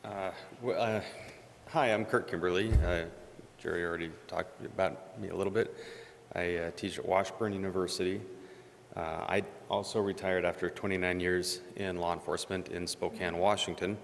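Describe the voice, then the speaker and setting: A middle-aged man with a low, soft voice that doesn't carry, speaking hesitantly and self-deprecatingly at a community meeting, pausing often on "uh". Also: fairly even intonation, gravelly, a little hiss or hum. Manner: halting, self-deprecating, many "uh" pauses. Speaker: board member. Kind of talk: informal remarks at a public meeting. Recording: echoey meeting hall.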